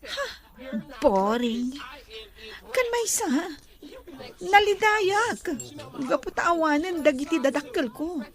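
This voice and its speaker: A spoiled, lonely young heiress in a radio drama. Her voice sits very high and swings widely in pitch, with the pampered air of someone who runs a mansion. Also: lively intonation, slow pace, long pauses, heavily acted. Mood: lonely, mournful. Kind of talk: Ilocano radio drama acting.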